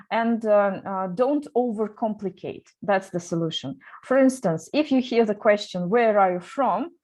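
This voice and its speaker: A woman's voice: medium pitch, a little mellow. A woman running an online seminar for teachers, talking with many fillers and restarts. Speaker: teacher trainer.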